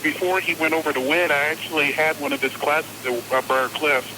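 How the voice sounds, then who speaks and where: A man's voice: on the high side, fairly even intonation, brisk. A man in his twenties chatting casually as a caller to a radio show. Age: 20s. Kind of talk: casual phone-in chat. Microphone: telephone. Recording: noisy phone call on radio.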